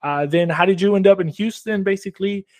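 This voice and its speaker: A man's voice: sits high, brisk, a little mellow, no echo. A man hosting a casual interview, asking loose, rambling questions full of fillers. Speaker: podcast host.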